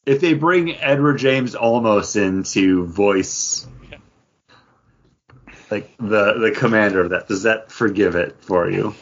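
A man's voice: lowish voice, slow pace, lo-fi sound. A man hosting a podcast in casual, chatty fan talk. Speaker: podcast host.

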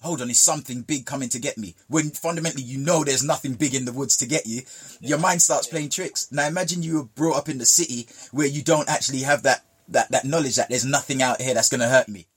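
Husky-voiced man telling stories. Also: mid-range voice, fast. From Jamaica. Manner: storytelling, emphatic repetition. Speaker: guest. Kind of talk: casual conversation.

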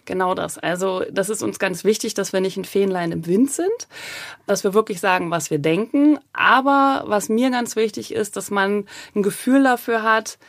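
Casting: female agent, mid pitch, reflective interviewee, conversational, dry studio-like sound. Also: moderate pace, no room sound. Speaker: voice talent agent being interviewed.

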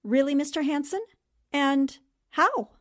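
A woman's voice: high voice, measured pace. An actress playing a wry, skeptical newspaperwoman who questions sharply, in 1940s radio style. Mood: skeptical, probing. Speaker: actor in a radio drama.

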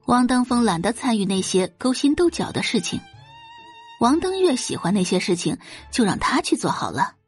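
A woman narrates an audio drama from a script in a dramatic style. Her pitch moves widely, with a slightly husky tone, very dry and close, over faint music. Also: very animated, normal speed.

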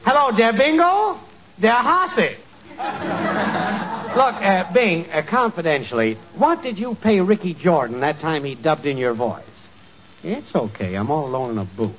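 An actor playing a sly, smooth-talking small-time hustler in 1940s radio comedy, with hesitant, confidential patter. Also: male voice, fairly high pitch, very animated, a little slow, a bit bright. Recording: muffled, noisy 1940s radio recording.

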